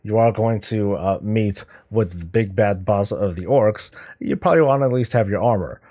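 A man's voice: fairly low pitch, moderate pace, mellow. A middle-aged man giving casual, musing reaction commentary as a video host, talking conversationally. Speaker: YouTube host reacting to game cinematics.

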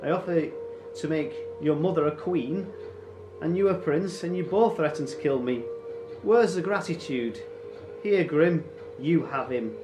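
A man's voice: on the high side, a little slow, roomy sound, over music, pitched above the performer's own voice, lightly acted. A man voicing a cruel Viking warlord in a storybook, taunting and boastful with false friendliness. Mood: menacing, amused. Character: cruel, mocking Viking warlord, swaggering villain.

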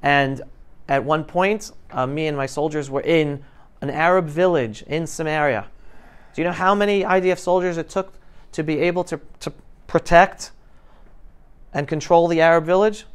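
Male guest speaker, around 50, smooth clear voice, informal classroom talk, emphatic and interactive. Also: a little high, moderate pace. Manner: emphatic, questioning the audience. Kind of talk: informal talk to high school students. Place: classroom, indoors. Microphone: lapel mic.

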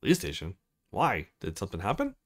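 A man voicing a laid-back, noncommittal young man in a visual novel, in a low-key, offhand manner. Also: huge pitch swings, a little fast, a bit bright, heavily acted.

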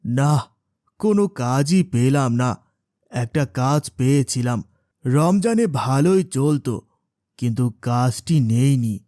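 A poor, devout working father in a moral cartoon, acted by a man. He is humble and honest, with a mid-pitched voice recorded dry and close. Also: expressive, a little slow, long pauses, warm tone, no echo, lightly acted. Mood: earnest, humble. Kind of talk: cartoon moral-story voice acting.

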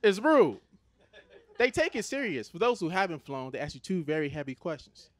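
A man from Los Angeles in his thirties with an American accent, performing a stand-up comedy set on a theater stage into a handheld mic, animated and conversational. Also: a little high, normal speed. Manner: animated, conversational, switches into put-on Nigerian voices for his parents.

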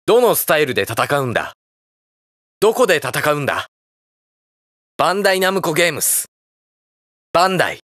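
An actor playing a hot-blooded, earnest young armored hero in a video game, blunt and boyish in manner. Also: male voice, on the high side, big pitch swings, brisk, pauses often, fairly bright tone, no echo, clean studio sound. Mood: energetic announcing. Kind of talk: shouted battle lines and game voice clips.

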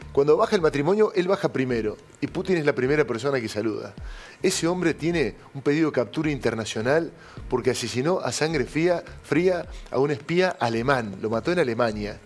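A middle-aged man with an Argentine accent, hosting a political talk show in a TV studio and telling stories emphatically. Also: medium pitch. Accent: Argentine Spanish. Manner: emphatic storytelling, addresses co-host by name.

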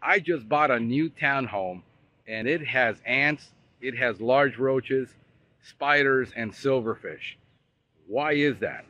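A man in his forties, a pest control expert, walking a construction site outdoors and talking to a phone camera in an energetic, conversational way. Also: mid-range voice, slow, lo-fi sound, a little hiss or hum. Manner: energetic, conversational, pointing things out while walking. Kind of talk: casual walking vlog explainer. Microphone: phone camera held at arm's length.

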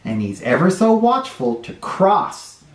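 A middle-aged man hosting a children's read-aloud, reading a storybook expressively with drawn-out phrasing. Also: sits high, sing-song. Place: small bright living room or playroom, indoors. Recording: roomy indoor echo.

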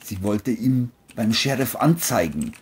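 Narrator for a crime thriller: mellow and husky, scripted delivery. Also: medium pitch, animated, measured pace.

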